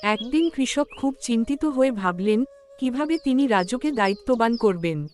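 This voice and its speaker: Female storyteller, higher register, scripted cartoon fable narration over faint music. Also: expressive, normal speed, darkish tone.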